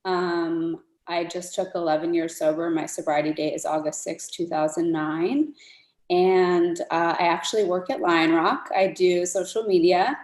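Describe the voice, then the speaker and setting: A woman introducing herself on a panel in a quiet, steady way. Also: lowish voice, measured pace, echoey room. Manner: quiet and steady, occasional ums. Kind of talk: casual personal introduction.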